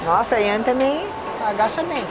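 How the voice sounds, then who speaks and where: A woman in her thirties from Brazil, a personal trainer coaching a gym workout, counting reps and giving quick encouragement. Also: on the high side, lively intonation, very noisy. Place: large indoor gym. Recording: loud background music.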